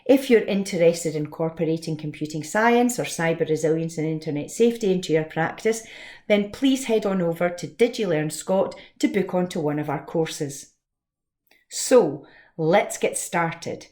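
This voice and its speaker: A woman's voice: on the low side, animated, measured pace, darkish tone. A woman giving a scripted educational presentation as a lesson presenter. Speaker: teacher presenting a read-along lesson.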